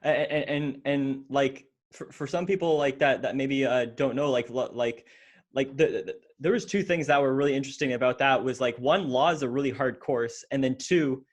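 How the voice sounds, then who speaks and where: A young man hosts a business podcast in a casual, eager voice at an ordinary mid pitch. He stumbles often, repeating words and filling gaps with "like" and "uh" as he talks into a podcast mic. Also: moderate pace. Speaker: podcast host. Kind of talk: casual interview hosting. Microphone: podcast microphone in frame.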